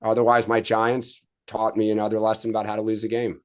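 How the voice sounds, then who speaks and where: A middle-aged man co-hosting a political chat, hoarse and raspy from a cold, easygoing and stammering on repeated words. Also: on the low side, monotone, quick pace. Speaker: podcast co-host.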